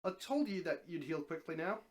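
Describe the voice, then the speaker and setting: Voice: medium pitch, expressive, a little fast, darkish tone, lightly acted. A reader plays a male talking snake from a story, affable and sure of himself. He speaks in a middle-range voice, explaining his wishes in a practical, patient way. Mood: confident. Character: affable, matter-of-fact male talking snake, wish granter.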